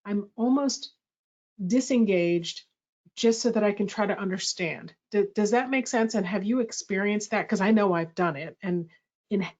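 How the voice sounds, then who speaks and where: A woman's voice: medium pitch, moderate pace, a little mellow. A middle-aged woman hosting a workshop, speaking in a thoughtful, reflective way and correcting herself as she goes. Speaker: workshop host. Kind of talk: presentation dialogue. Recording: slightly muffled audio.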